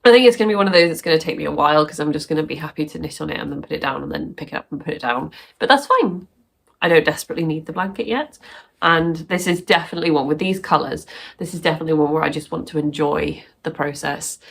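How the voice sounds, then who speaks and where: Chatty woman with a gravelly, stuffy voice. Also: deep voice, quick pace. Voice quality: bunged up, sniffly. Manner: chatty and rambling, laughs often. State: stuffy nose from sneezing. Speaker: crafting vlogger. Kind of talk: casual chat to camera.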